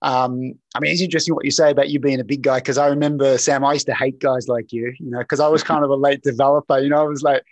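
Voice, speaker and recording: A man's voice: mid-range voice, steady tone, fast talker, few pauses, no echo, lo-fi sound. A man with an Australian accent interviewing a guest, asking long winding questions and stumbling over his words. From southeast Australia. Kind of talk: podcast interview.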